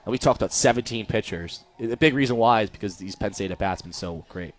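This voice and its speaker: A man's voice: fairly low pitch, fast, no echo. A young American man giving live color commentary on a baseball radio broadcast.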